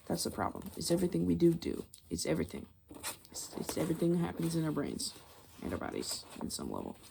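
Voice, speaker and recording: A woman host speaking in a soft-spoken ASMR style that slips into whispers, rambling and confiding in a conversational way. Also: lowish voice, vocal fry, background noise. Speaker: ASMR video creator and host.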